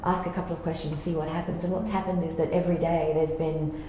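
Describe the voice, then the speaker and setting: A woman's voice: low-pitched, little pitch movement, fast, warm tone. A woman explaining a creative process as a theatre director, thoughtful and informal. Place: reverberant indoor room. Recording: very echoey room, faint background noise.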